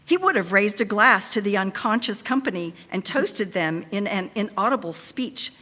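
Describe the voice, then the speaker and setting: Female narrator for staged reading, bright projected tone, reverberant hall. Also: medium pitch, animated, moderate pace. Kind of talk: scripted dramatic narration of a story.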